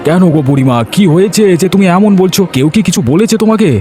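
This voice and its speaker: A man's voice: sits high, lively intonation, quick pace, mellow. A man voicing a kind-hearted village fishmonger in a cartoon, solicitous and eager. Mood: concerned. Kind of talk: Bengali cartoon voice acting. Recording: music behind the voice.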